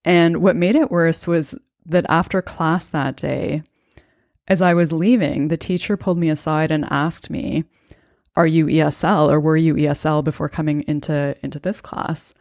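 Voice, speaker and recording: Woman in her 30s, low voice, calm reflective podcast talk, dry close sound. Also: normal speed, dry recording. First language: bilingual English and Estonian. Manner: calm, thoughtful, conversational with occasional fillers. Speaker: podcast host and coach. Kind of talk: reflective personal storytelling.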